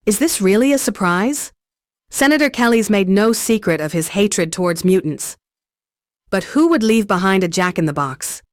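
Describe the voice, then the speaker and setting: A woman's voice: mid-range voice, expressive, normal speed, darkish tone, dry recording, clean studio sound, lightly acted. A woman voicing a dutiful, composed superhero field leader in a video game, with military formality. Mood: focused. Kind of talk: video game mission dialogue.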